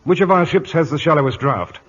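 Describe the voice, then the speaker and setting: A man's voice: a little high, expressive, brisk, fairly bright tone. An actor playing a haughty, stubborn admiral in a 1950s radio drama, pompous and condescending as he commands. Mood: condescending, stern. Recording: dull old radio broadcast recording.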